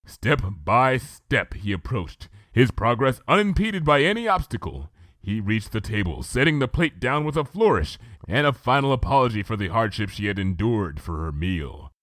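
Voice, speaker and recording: A man reading a story aloud as an expressive scripted narration. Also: mid-range voice, normal speed, dry recording, clean studio sound. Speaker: fan fiction reader.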